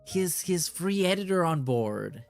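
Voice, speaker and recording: Guy streamer reading stuff out n mocking it, swears a lot, highish voice, music behind him. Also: big pitch swings, unhurried, creaky. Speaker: streamer and commentator. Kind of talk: casual reaction commentary, reading messages aloud.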